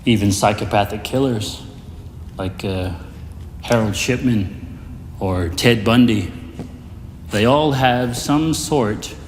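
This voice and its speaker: Man acting as a slow, echoing villainous mastermind. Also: lowish voice. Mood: condescending calm. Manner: measured, lecturing, quietly superior. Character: calm, condescending male mastermind of evil. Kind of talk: dramatic villain monologue. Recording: echoey hall-like reverb, faint noise.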